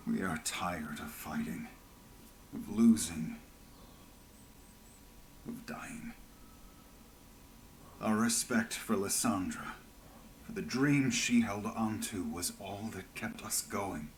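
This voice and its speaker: An actor playing a weary, disillusioned rebel veteran in a fantasy video game, speaking in a grave, measured way. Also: male voice, fairly low pitch, slight background noise. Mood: weary, resigned. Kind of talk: dramatic fantasy game voice acting.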